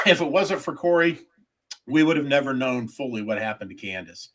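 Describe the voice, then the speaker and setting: A man's voice: mid-range voice, big pitch swings, moderate pace, a crowd in the background. A man hosting a podcast, joking and rambling, often stumbling over his words. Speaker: podcast host. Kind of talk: casual podcast banter.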